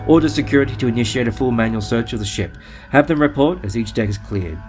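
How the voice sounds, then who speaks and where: A commanding starship captain played by a male actor in a science fiction audio drama. He is clipped, decisive and authoritative, with a medium pitch and music playing behind him. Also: quick pace, a little mellow, close to an everyday voice. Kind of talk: audio comic sci-fi drama acting.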